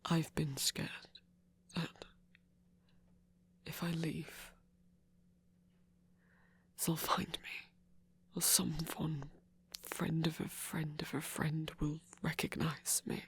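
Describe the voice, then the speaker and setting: A man performs a flirty yet wistful vampire for an ASMR audio story. The voice is very husky and breathy, often sinking to a whisper. He speaks slowly and hesitantly, with creaky fry at the edges and a teasing softness. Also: a little high, little pitch movement, exaggerated voice. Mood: wistful, anxious. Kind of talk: intimate ASMR audio roleplay.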